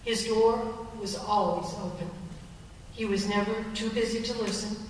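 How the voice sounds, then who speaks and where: A woman, a longtime colleague, delivers a prepared memorial tribute in a thoughtful, steady way. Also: mid-range voice, measured pace, a little hiss or hum. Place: large hall at a memorial service. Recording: distant echoey hall recording.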